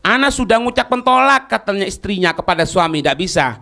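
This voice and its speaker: A man's voice: high-pitched, expressive, fast talker, sharp tone. A man with an Indonesian accent giving an Islamic lesson, reading a text and explaining it didactically with repeated phrases and checks on understanding. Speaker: Islamic teacher.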